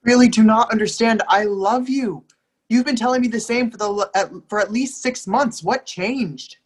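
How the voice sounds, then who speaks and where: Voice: mid-range voice, moderate pace, close to an everyday voice. An actor playing a lovestruck, pleading young partner, hurt and insistent, in an emphatic readers theatre reading. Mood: urgent pleading. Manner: emphatic, imploring.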